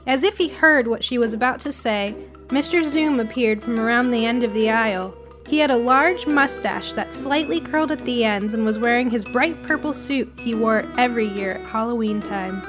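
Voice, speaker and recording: A woman's voice: on the high side, moderate pace. A woman reading a scripted first-person short story as a storyteller. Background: music.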